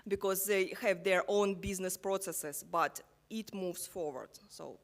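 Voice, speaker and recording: A woman speaking as a panelist in a discussion, halting, with frequent pauses and restarts. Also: mid-range voice.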